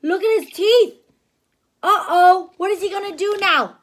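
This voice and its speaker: A woman teacher reading a storybook aloud to a young child, expressive and exaggerated, with simple asides. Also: extremely high pitch, measured pace.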